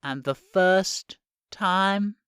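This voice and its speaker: An actor playing a smug, menacing Prime Minister in an audio drama, drawling and sarcastic, with theatrical politeness and long pauses. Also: male voice, high voice, a bit bright, clear, resonant tone, dry recording, clean studio sound, full character voice.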